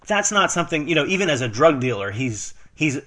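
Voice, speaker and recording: A man's voice: on the high side, very animated, fairly quick, sharp tone. A man hosting an interview podcast, speaking in rambling, filler-heavy sentences. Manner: rambling, heavy use of fillers like "you know" and "uh". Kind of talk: casual interview chat.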